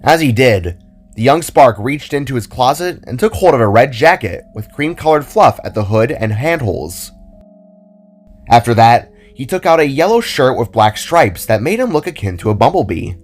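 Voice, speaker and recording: Expressive male storyteller with lively pitch. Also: moderate pace, faint music behind. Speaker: story narrator. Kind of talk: expressive scripted fantasy narration.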